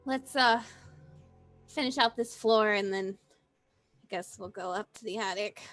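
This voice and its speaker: A woman's voice: mid-range voice, measured pace, fairly bright tone, no echo, soft background music. A woman playing a tabletop roleplaying game, talking casually and narrating what her character does.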